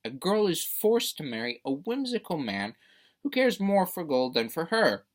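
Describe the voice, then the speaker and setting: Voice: mid-range voice, very animated, normal speed. A debate speaker delivering a prepared persuasive speech in a formal, emphatic, rhetorical manner.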